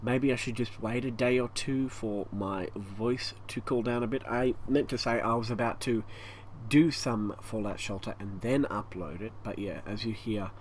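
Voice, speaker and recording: A man with an Australian accent and a croaky, hoarse voice, rambling through a casual channel update at home, stopping often for breath. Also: on the low side, fairly even intonation, moderate pace. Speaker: YouTube gaming channel host. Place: hot home room with a fan running. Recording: faint fan noise behind.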